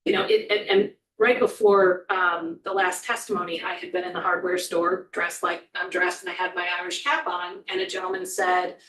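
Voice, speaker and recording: A woman's voice: lowish voice, steady tone, moderate pace, hardly any pauses, a bit bright, distant mic, a little hiss or hum. A woman testifying before a committee, explaining in an earnest way with frequent ums. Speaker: corrections official testifying. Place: legislative hearing room. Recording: echoey hearing room, voice off-mic.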